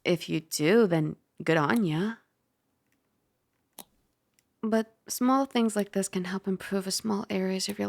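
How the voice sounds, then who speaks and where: A woman playing a caring, teasing dragon girl roommate in an ASMR roleplay, soft, chatty and gently instructive. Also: mid-range voice, creaky, no echo, studio quality.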